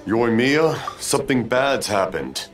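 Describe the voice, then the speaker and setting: A man's voice: mid-range voice, sing-song, slow pace, recorded from a distance, faint music behind. An actor playing a worried old father, a fireworks maker's dad, in a video game. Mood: alarmed.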